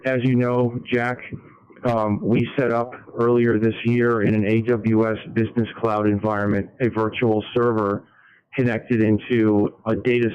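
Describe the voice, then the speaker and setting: A man explaining in a measured, businesslike way over a ham radio transmission. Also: fairly low pitch, clean tone, no room sound. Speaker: amateur radio operator. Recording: dull band-limited radio link.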